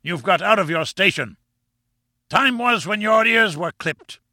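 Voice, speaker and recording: A man voicing a furious, bullying professor in an audiobook, pompous and menacing, with a rumbling, booming delivery. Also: animated, a little slow, bright, forceful tone, dry recording, studio quality, heavily acted. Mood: raging contempt. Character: short, barrel-built furious professor, bullying and contemptuous. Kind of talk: audiobook character acting.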